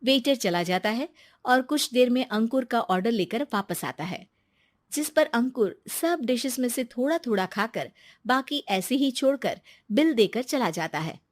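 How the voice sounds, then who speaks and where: A woman's voice: fairly high pitch, animated, a little slow, no room sound. A woman narrator reading a scripted cartoon moral story.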